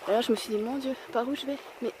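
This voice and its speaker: A young woman with a hoarse voice from a cold, slightly out of breath, chatting casually to her camera while hiking a mountain trail outdoors. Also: fairly high pitch, steady tone, very fast, noisy background. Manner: chatty and self-deprecating, thinking aloud. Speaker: hiker vlogging a mountain trail. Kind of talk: casual spoken travel vlog.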